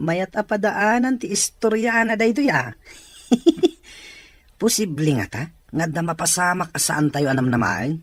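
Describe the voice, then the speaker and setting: A man acts a chatty Filipino office worker with an Ilocano accent. His husky voice has a teasing manner, in the style of a radio drama. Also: sits high, expressive, takes it slowly, pauses often, no room sound, full character voice.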